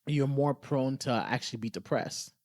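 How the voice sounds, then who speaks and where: A man's voice: mid-range voice, moderate pace, a little mellow, no room sound, clean studio sound. A man co-hosting a podcast, talking in a reflective, conversational way, earnestly explaining and thinking aloud. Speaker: podcast co-host.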